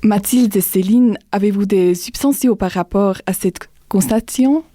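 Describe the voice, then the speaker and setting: A young woman student hosting a podcast discussion, speaking slowly and carefully. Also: mid-range voice, dark tone, no echo. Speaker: host and student.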